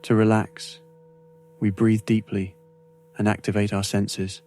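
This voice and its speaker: Male meditation guide, lowish pitch, flat steady intonation, slow soothing scripted delivery, long pauses.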